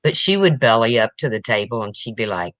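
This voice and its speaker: A woman from the Midwest with a deep, raspy voice and a bright, forceful edge, talking bluntly and easily. The sound is dry and a little dull. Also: big pitch swings, a little fast, no echo. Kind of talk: casual chat.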